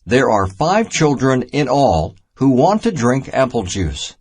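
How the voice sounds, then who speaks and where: A man reading a children's math storybook aloud in a deliberate, simple teaching manner. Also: medium pitch, very animated, darkish tone, breathy edge. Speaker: narrator of a children's math reader.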